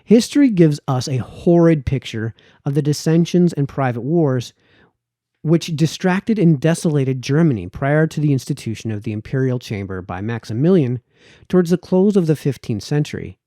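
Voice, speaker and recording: A man narrates a formal text in the manner of an amateur audiobook reader. His voice is mellow and mid-pitched, with very animated intonation and measured phrasing that drops at the ends of sentences. The sound is dry and close. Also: dry recording. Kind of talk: read-aloud of a formal political essay, audiobook narration.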